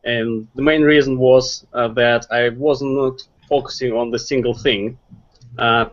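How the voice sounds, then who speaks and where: Brief: Russian-accented male guest, mid pitch, earnest advice, muffled call audio. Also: steady tone, measured pace. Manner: earnest, advice-giving, some grammar slips. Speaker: guest, SEO marketer. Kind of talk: online interview.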